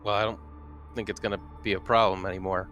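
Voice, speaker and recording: A man's voice: low voice, flat delivery, quick pace, a bit bright, soft background music. A man chatting casually as a player in a tabletop roleplaying game.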